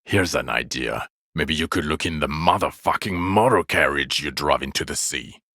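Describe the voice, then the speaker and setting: An actor playing an exasperated, foul-mouthed police partner in a video game, world-weary, sarcastic and contemptuous in a gravelly voice. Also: male voice, sharp tone, no echo, studio quality, full character voice. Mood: sarcastic, fed up. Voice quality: gravelly, low. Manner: sardonic, weary, contemptuous, deliberate scolding. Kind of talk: video game character voice acting.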